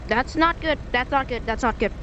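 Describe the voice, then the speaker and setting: A woman giving excitable live gameplay commentary, with frequent short repeated exclamations. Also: high voice, moderate pace, noisy background. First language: Thai. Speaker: gamer playing a storm-chasing game.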